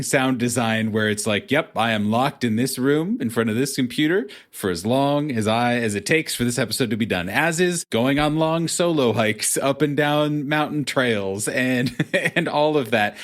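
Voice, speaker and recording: A man interviewing conversationally as a podcast host, framing reflective questions with casual examples. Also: medium pitch.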